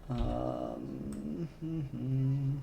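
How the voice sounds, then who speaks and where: A man's voice: mid-range voice, wildly swooping pitch, drawn-out words, creaky, mellow, a little hiss or hum. A man live-streaming his 3D modelling work, talking casually and muttering to himself, a little self-conscious. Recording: echoey room, faint music behind.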